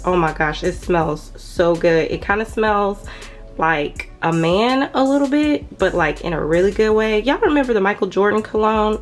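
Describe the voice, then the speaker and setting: A woman, chatting casually to her viewers in a home vlog and calling them y'all. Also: mid-range voice. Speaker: vlogger. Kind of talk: casual vlog chat to camera. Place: home rooms and a parked car.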